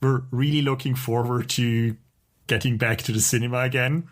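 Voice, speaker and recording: A man's voice: mid-range voice, steady tone, normal speed. A man co-hosting a podcast in casual conversation, with an opinionated, wry way of talking. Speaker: podcast co-host.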